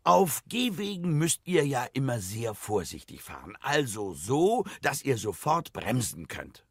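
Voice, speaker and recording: An actor playing an eccentric, pompous quiz expert with a raspy voice in a children's radio play, theatrical and fond of chuckling at his own jokes. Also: mid-range voice, sing-song, unhurried, dry recording, studio quality, full character voice. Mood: pompous, instructive. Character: eccentric, excitable quiz expert Doctor Speiche, boastful and grumbling.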